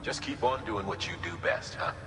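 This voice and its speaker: An actor playing a steady, authoritative male commander in a video game, briefing a courier in a measured, instructive way with folksy asides. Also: fairly low pitch, natural voice. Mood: concerned, steady. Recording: echoey reverberant hall, clearly noisy ambience.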